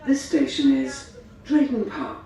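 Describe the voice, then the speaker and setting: A woman's voice: a little high, very animated, unhurried, vocal fry, dark tone, recorded from a distance. A woman reading scripted station announcements, heard through a train's PA speaker. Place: inside a train carriage. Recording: very echoey, noisy train PA from afar.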